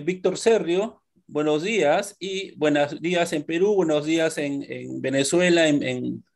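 Man, middle-aged, webinar moderator, slightly high voice, hesitant fillers, laptop webcam on a video call. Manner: hesitant, listing names with fillers. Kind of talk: live video call introduction.

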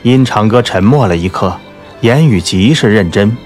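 A man's voice: lowish voice, lively intonation, normal speed, a little mellow, soft background music. A man narrating a novel as a scripted literary audiobook.

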